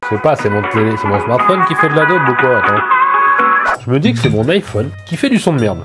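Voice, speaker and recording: Male tech vlogger, stuffy from a cold, medium pitch, quick self-mocking chatter. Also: sing-song. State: congested from a head cold. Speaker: tech reviewer. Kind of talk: casual unboxing review. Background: faint music from the speaker being tested.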